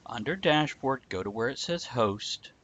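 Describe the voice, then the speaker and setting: A man's voice: medium pitch, lively intonation, normal speed, fairly bright tone. Dull lo-fi recording, jokey male streamer. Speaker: livestream show host. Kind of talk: casual joking banter and step-by-step explaining. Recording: dull lo-fi livestream audio.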